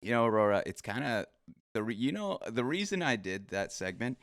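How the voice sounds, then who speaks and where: A man's voice: mid-range voice, very animated, fairly quick, fairly bright tone, no room sound, studio quality. A man hosting a casual interview show, talking in a rambling, friendly way with plenty of fillers and restarts. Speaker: podcast host.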